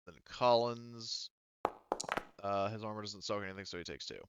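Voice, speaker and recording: A man's voice: fairly low pitch, fairly even intonation, normal speed, lo-fi sound, background noise. A man speaking casually as a tabletop game master, thinking aloud hesitantly as he works through the rules.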